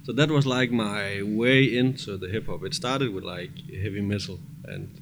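Male interview guest, Danish accent, deep register, loose conversational delivery, noisy studio. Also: moderate pace. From Denmark. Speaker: music producer guest. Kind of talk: casual chat. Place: cramped studio.

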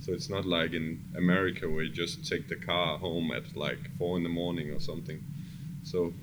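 Danish-accented male producer, low voice, relaxed interview chat, clear background noise. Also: moderate pace. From Copenhagen, Denmark. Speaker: music producer guest. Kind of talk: casual chat. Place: cramped studio. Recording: noisy studio background.

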